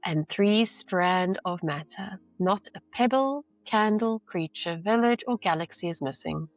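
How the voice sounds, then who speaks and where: A woman teacher reading a novel aloud with deliberate, emphatic phrasing and brief explanations. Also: mid-range voice, no echo, faint music behind.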